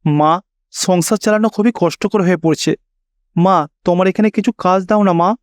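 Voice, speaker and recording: A man's voice: sits high, normal speed, faint music behind, close to an everyday voice. A man voicing a worried, dutiful young married son who pleads earnestly in a cartoon. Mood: pleading.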